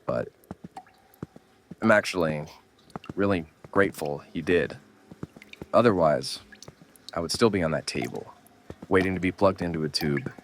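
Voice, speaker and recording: A world-weary survivor with a low, gruff voice, played by a man, speaks bluntly, like a stern mentor in a horror audio drama. There is slight noise behind the voice. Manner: blunt, scolding, urgent.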